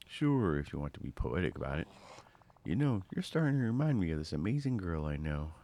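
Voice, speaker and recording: A man's voice: low-pitched, sing-song, brisk, dark tone. A man voicing a charming, cocky roguish thief from a video game, flippant and teasing. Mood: wry.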